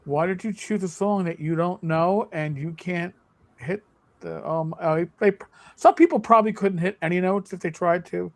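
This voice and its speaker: Casual male host with a high-pitched voice, rambling and easygoing. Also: moderate pace, vocal fry. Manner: rambling, frequent restarts and laughs. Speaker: game master and channel host. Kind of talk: casual podcast chat.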